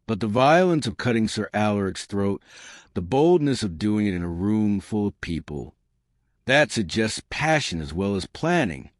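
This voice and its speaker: Guy reading as quiet old spinster lady sleuth, shrewd n measured, audiobook mystery, dry close sound. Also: on the low side, dry recording.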